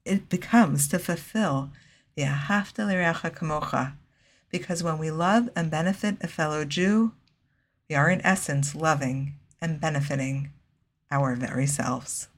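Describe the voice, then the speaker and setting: A low-voiced woman delivers a scripted Torah lesson. She speaks in a measured, earnest way and drops in Hebrew phrases naturally. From United States. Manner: measured, earnest, with Hebrew and Yiddish-style terms. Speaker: Torah teacher.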